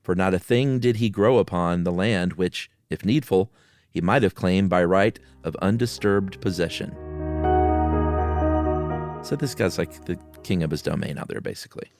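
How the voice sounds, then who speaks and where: A man's voice: low-pitched, normal speed, over music. A man hosting a podcast in an easygoing way, moving between casual banter and dramatic story reading. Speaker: podcast host reading horror stories aloud.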